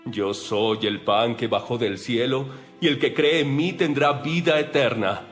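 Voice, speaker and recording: A solemn male holy teacher played by an actor speaks slowly and deliberately in an audio Bible drama. His pitch is middling and his manner is assured and declarative, with faint music behind him. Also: natural voice.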